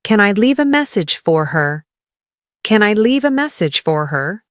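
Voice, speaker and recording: A woman leading a scripted English lesson as a teacher, encouraging the learner and clearly enunciating model phrases for repetition. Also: medium pitch, big pitch swings, measured pace, dry recording, studio quality. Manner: encouraging coaching.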